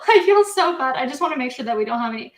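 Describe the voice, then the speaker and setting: A woman from the American Midwest chats casually on a live stream, her voice sitting a little high. She laughs her way through many sentences. The sound carries a noticeable room echo. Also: big pitch swings, fast. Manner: giggly, talks through laughs. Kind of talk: casual chat.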